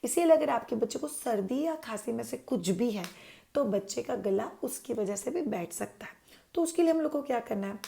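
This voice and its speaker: A woman's voice: a little high, animated, moderate pace, creaky, dark tone. A woman hosting a parenting channel, explaining baby care to parents in a chatty way, dropping English words into her talk and answering her own questions.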